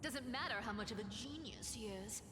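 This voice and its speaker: A woman's voice: fairly high pitch, brisk, noisy background, natural voice. An actress playing a sly, teasing female trickster demigod in a game. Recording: game audio with reverb and music.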